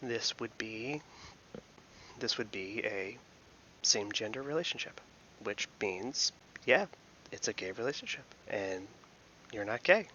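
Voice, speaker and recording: A man from Philadelphia hosting a casual commentary, reading out an online post and rambling through his thoughts with many fillers and soft whispered asides. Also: on the low side, animated, a little slow, pauses often, dull audio, a little hiss or hum. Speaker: host.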